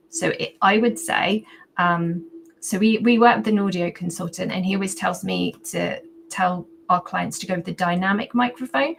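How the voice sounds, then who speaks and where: A woman's voice: mid-range voice, gravelly, a little hiss or hum. A woman guest on a podcast interview, giving practical advice into a condenser USB mic at home. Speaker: podcast guest booking agency founder. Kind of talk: casual interview answers. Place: home at evening with ring light. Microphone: condenser USB microphone.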